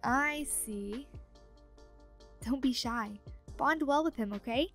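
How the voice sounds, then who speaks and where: A woman plays a young female ghost in an audio roleplay, teasing and chatty. Her voice sits fairly high, with faint music behind it. She comes across as a playful, meddling roommate. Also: slow, a bit bright. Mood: sly, playful. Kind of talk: ASMR audio roleplay acting.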